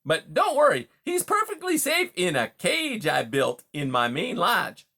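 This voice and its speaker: Loud, high-pitched, pushy beaver. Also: huge pitch swings, a little slow, full character voice. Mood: blustering. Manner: brash, folksy, talking over others. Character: huge male beaver, pushy cliché-spouting smooth-talking bully. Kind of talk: audiobook character dialogue.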